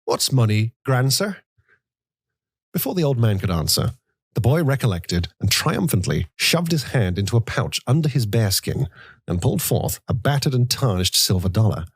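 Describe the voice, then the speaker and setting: A man narrating a literary audiobook in a measured, dramatic storytelling manner. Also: on the low side, big pitch swings, slightly rough, no echo.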